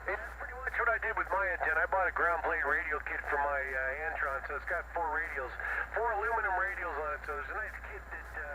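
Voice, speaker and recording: A man's voice: fairly high pitch, animated, fast. A man from New England chatting casually as a CB radio operator, upbeat, chummy and slangy. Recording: faint, very noisy CB radio reception.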